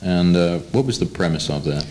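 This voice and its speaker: A man's voice: sits low, animated, a little fast, darkish tone, a little hiss or hum, poor audio. An American man acting as interviewer and moderator in an informal panel discussion. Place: panel table in a room with an audience.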